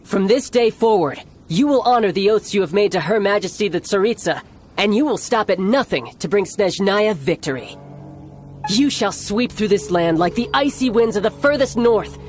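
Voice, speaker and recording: Game casting: young male warrior and big brother, authoritative yet smooth, big expressive swings. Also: high-pitched. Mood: commanding, stern. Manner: theatrical, smooth-talking, authoritative. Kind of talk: video game voice acting. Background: background music.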